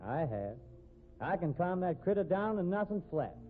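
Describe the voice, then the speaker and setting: Cocky american bronc rider, 50s radio drama, swingy folksy talk, tinny old recording. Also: male voice, sits high, very animated, moderate pace. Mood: cocky, assured. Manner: folksy Western drawling slang. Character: cocky, evasive rodeo cowboy, confident bronc rider. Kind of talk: 1950s radio drama acting. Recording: thin, noisy vintage radio recording.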